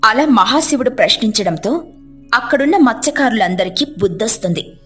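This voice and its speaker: A woman tells a devotional moral tale in scripted narration. Her voice sits fairly high, and music plays behind her. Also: lively intonation, fairly quick, fairly bright tone, slightly rough. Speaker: storyteller.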